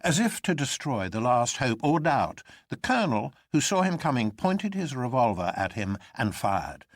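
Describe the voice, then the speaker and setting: A man reads literary fiction as a dramatic narrator. His pitch moves a great deal and his delivery is theatrical. The recording is dry, with no room around the voice. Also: moderate pace, no echo. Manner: theatrical, expressive.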